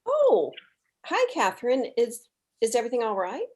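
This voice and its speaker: A woman's voice: fairly high pitch, huge pitch swings, moderate pace, a little mellow. A woman playing a polite but blunt mother in her forties, in a comedy script read. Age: middle-aged.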